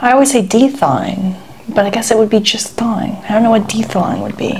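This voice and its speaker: Female airport agent, played by a woman for ASMR role-play: playful, pesky, slightly high voice, folksy and unrushed, over clear background noise. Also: animated. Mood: officious, playful. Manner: folksy, teasing, deliberately unhurried. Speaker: ASMR performer.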